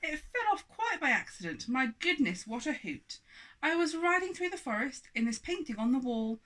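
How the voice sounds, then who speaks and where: A woman's voice: high voice, big pitch swings, normal speed, a bit bright, pitched above the performer's own voice. A woman voicing an indignant, theatrical aristocratic lady, an earl's daughter in a children's storybook. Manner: theatrical, affronted.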